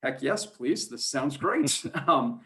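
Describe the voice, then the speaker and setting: A man's voice: medium pitch, expressive, slow, pushed and bright. A man speaking as an interview guest, a sports announcer telling anecdotes in an easygoing, chatty, self-deprecating way. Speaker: podcast guest, high school sports play-by-play announcer.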